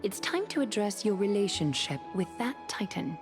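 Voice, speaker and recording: A woman's voice: mid-range voice, big pitch swings, normal speed, natural voice. An actress playing a coolly threatening woman leader in a video game, formal, controlled and commanding. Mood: cool, stern. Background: background music.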